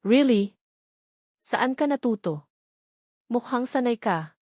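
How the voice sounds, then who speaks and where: A woman voicing a charming, confident male boss who speaks in a measured, earnest and intimate way. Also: a little high, expressive, a little mellow, no echo, studio quality.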